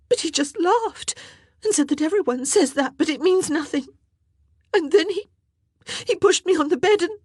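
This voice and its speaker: A woman voicing a distraught young maid of honor in an audiobook, tearful, ashamed and halting, gasping through sobs. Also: very high-pitched, a little mellow, dry recording, studio quality, pitched above the performer's own voice. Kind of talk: audiobook character acting.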